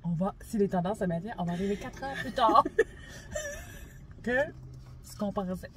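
A woman's voice: mid-range voice, expressive, normal speed. A woman in her forties with a Quebec French accent, joking and teasing her friend during a car vlog. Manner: playful, teasing, laughs loudly. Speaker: travel vlogger. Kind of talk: casual chat. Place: parked car. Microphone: phone camera on the dashboard. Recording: car with clear background noise.

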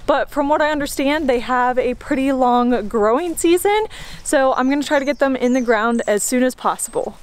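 A woman's voice: high voice, normal speed. A woman vlogging casually as she walks through her home garden and explains her plantings. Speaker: homesteading vlogger. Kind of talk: casual garden tour vlog. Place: outdoors in a backyard garden.

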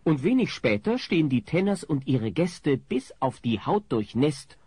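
A man's voice: a little high, very animated, a little slow, warm tone, husky, no room sound. A man narrating a comedy audio drama in a scripted, wry storytelling manner.

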